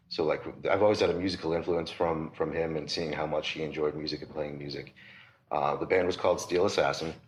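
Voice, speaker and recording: A man with a Boston accent, a record store owner chatting in a relaxed, thoughtful way as the guest of an interview. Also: a bass voice, slight background noise. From Massachusetts, USA. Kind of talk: casual interview chat.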